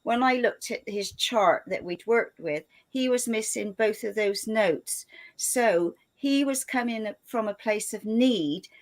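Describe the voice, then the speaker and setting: A woman host in a casual conversation, telling a client anecdote in a lively, storytelling manner. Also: on the high side, slow pace.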